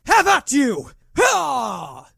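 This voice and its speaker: A man's voice: very high-pitched, wildly swooping pitch, very slow, gravelly, a crowd in the background, full character voice. A man voicing a cocky, boastful masked superhero who talks like a bro, in a mock-heroic parody audio drama.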